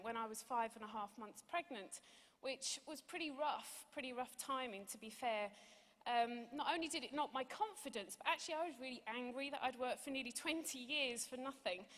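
Woman in her 40s doing a testimonial speech, high voice, echoey auditorium mic. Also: fairly quick, fairly bright tone. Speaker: award recipient giving a testimonial. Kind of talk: informal testimonial speech on stage. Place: large auditorium stage. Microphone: handheld microphone. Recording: echoey hall sound over PA.

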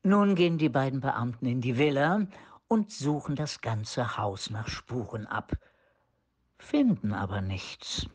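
A man's voice: mid-range voice, animated, a little slow, slightly rough. A man reading scripted narration for a German audio drama.

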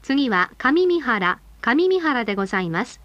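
A woman with a high voice delivers scripted announcements for bus passengers. Her delivery is formal, polite and carefully measured. The sound is dry, with no room echo around her. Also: very animated, a bit bright, highs cut off.

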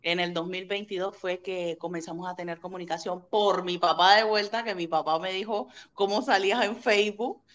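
A middle-aged woman from Nicaragua telling family stories in a casual interview over a webcam, animated and laughing often. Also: on the low side. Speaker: guest, interviewer's sister. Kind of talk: casual video-call interview. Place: home room indoors. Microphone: video call webcam.

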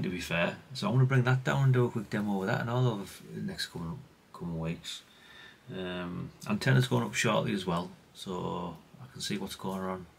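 A man's voice: low-pitched, vocal fry, a bit bright, a little hiss or hum. A middle-aged British man giving casual, unscripted workbench commentary in a rambling, chatty, plain-spoken way. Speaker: hobbyist radio repair vlogger.

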